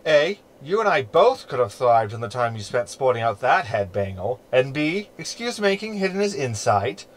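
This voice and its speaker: A man's voice: mid-range voice, very animated, normal speed, lightly acted. A man playing a snarky, meddling hologram in a sci-fi sitcom audio drama, talking in a glib, verbose, sardonic way. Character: snarky, meddling male hologram ship companion.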